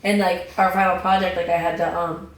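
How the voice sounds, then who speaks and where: A young woman, a podcast guest, chatting casually in a slightly raspy voice, full of fillers and restarts. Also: lowish voice, little pitch movement, a little fast. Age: young adult. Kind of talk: casual chat. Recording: echoey room, distant mic, faint noise.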